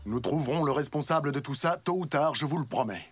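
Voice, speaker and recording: A man's voice: on the high side, expressive, fast, lightly acted. An actor playing an earnest, resolute middle-aged father and rescue chief in a French cartoon dub. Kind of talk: animated children's series dub. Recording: loud cartoon music behind.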